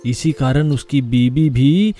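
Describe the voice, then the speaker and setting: A man's voice: mid-range voice, slow pace, warm tone, soft background music. Male narrator of a children's story.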